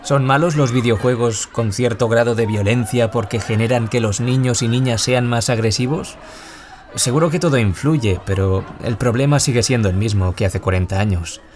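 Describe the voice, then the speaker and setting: A man's voice: medium pitch, moderate pace, a little mellow, natural voice. A man with a Peninsular Spanish accent playing an earnest, reflective author who survived bullying, in a scripted radio interview. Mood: reflective, serious. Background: music.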